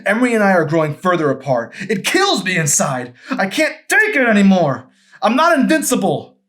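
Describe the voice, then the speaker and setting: A man voicing a desperate, anguished husband and fallen pastor, emphatic and often shouting, in an audiobook. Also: high-pitched, sing-song, normal speed, voice pushed up. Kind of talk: dramatic audiobook character acting.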